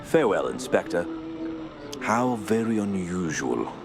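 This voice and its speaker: An actor playing a stern, cautious senior professor in a radio drama, speaking formally and gravely. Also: male voice, low-pitched, big pitch swings, unhurried, over loud music, full character voice. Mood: guarded, grave.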